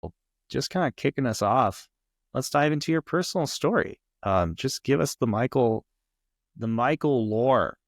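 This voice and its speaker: A man's voice: medium pitch, lively intonation, normal speed, dry recording, studio quality. A man hosting a podcast interview, loose and friendly with lots of fillers. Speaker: host.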